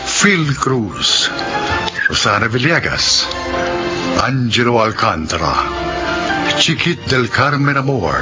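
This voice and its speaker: A man announcing the closing credits of a radio drama. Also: mid-range voice. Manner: slow and measured. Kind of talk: reading the end credits. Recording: dull lo-fi radio recording with music.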